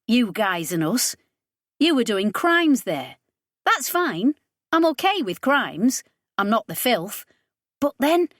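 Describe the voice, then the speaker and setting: Woman playing a high-pitched, brash female spacer. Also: a bit bright, no room sound, clean studio sound, heavily acted. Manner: blunt, colloquial, challenging. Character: brash, scrappy young female spacer, blunt and indignant.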